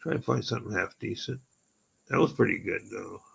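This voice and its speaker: Gravelly, husky-voiced man chatting live. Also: on the low side, fairly quick. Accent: Canadian. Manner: rambling, excitable, exclaims often. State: voice going hoarse. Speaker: live stream host. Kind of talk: casual live stream chat.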